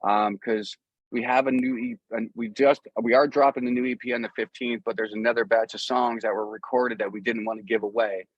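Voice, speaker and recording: A man's voice: mid-range voice, fairly even intonation, fairly quick, no echo, lo-fi sound. A man speaking casually and informally as a musician guest in an interview, rambling a little as he goes.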